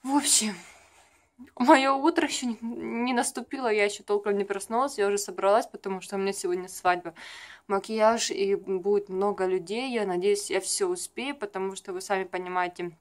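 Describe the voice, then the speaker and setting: A woman's voice: mid-range voice, steady tone, fairly quick. A young adult woman talks in a casual, confiding vlog style, rambling through everyday stories to a camera at arm's length in a room at home. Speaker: vlogger, makeup artist. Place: small furnished room at home.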